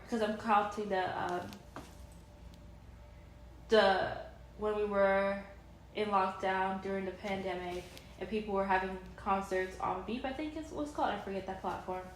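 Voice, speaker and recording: A woman's voice: medium pitch, roomy sound, a little hiss or hum, rough recording. A woman in her thirties chatting casually and enthusiastically in a vlog, rambling with many fillers and restarts. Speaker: vlogger.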